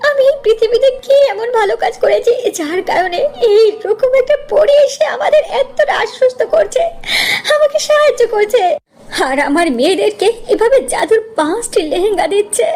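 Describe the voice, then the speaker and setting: A woman's voice: very high voice, animated, normal speed, a little mellow, voice pushed up. A woman voicing a doting, tearful mother of five brides in a cartoon story, plaintive and affectionate. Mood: grateful, tearful. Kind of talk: cartoon story character acting.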